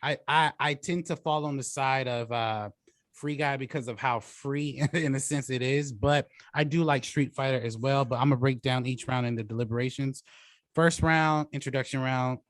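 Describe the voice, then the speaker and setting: A man hosting and judging a casual debate, speaking haltingly with repeated words and frequent chuckles. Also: mid-range voice, no echo. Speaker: debate host and judge. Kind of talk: casual moderating and commentary.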